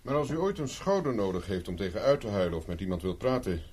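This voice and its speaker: An actor playing a persistent private investigator in a 1970s radio drama, speaking dry and insistent. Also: male voice, on the low side, very animated, quick pace, darkish tone, a little hiss or hum. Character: dogged male private investigator, cool and persistent. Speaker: private detective Carver.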